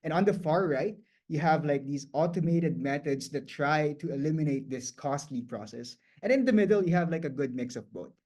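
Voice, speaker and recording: A man whose first language is Tagalog, giving a guest lecture in a loose, conversational way, often restarting phrases and filling pauses with "um" and "like". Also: fairly high pitch, a little mellow. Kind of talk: explanatory lecture.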